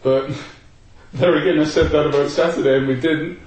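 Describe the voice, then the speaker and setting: A man's voice: fairly high pitch, fairly even intonation, moderate pace, darkish tone. Faintly Scottish man, distant and echoey. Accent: slight Scottish. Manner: self-deprecating, laughs at himself. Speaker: podcast pundit. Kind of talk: casual football chat.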